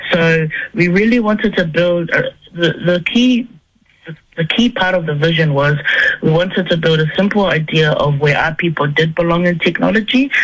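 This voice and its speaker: A woman with a New Zealand accent, a tech founder as a radio interview guest, talking in a lively, playful way and laughing often. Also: low voice, low-quality recording.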